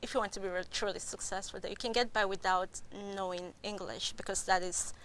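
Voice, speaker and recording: A middle-aged woman nurse with a Cameroonian accent, answering interview questions in a soft, halting way with frequent laughter. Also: medium pitch, steady tone, a little hiss or hum, poor audio. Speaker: registered nurse guest.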